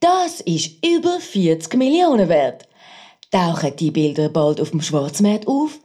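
A conceited radio crime reporter with a Swiss accent, hungry for sensation. Her husky, mellow voice swoops dramatically through each report. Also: lowish voice, big pitch swings, measured pace. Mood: dramatic, self-important.

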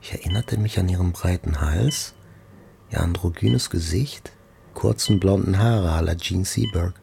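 Brooding wounded journalist, deep creaky male voice, intimate introspective radio play narration, noisy background. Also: a little slow, exaggerated voice. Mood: intimate, remembering.

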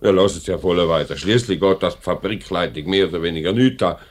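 An actor playing a dismissive factory director with a Swiss German accent, brisk and condescending, in a 1950s radio drama style. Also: male voice, sits low.

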